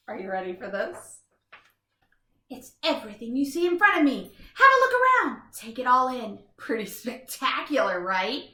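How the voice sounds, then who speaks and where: Woman playing a smug couch potato, high swooping voice, exclamatory, theatrical, children's storybook, roomy echo. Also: pushed and bright, full character voice. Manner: exclamatory, theatrical, self-satisfied. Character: comfort-loving, boastful, easily thrilled male couch potato. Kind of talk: first-person children's storybook read-aloud.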